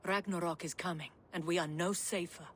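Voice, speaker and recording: A woman's voice: lowish voice, steady tone, brisk, slight background noise, lightly acted. A woman speaking with urgent worry in a video game scene. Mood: worried.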